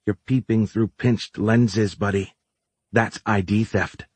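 A man's voice: on the low side, fairly even intonation, slow, a little mellow, breathy edge, no room sound. A man voicing a brash, sarcastic, teasing woman who is a partner in crime, with streetwise, needling delivery.

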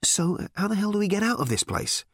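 A man doing Ford, a brisk, impatient alien. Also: sits high, very animated, fairly bright tone, no room sound, clean studio sound, voice pushed up, exaggerated voice. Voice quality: brisk, pressing, blunt. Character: impatient, urgent alien man, blunt and fretful.

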